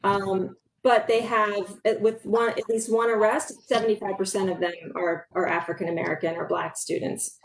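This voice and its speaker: A middle-aged woman hosting a public town hall over a video call, speaking earnestly with halting fillers from a room at home. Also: mid-range voice, steady tone. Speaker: town hall host, local supervisor. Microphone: video call webcam. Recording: echoey room, faint noise.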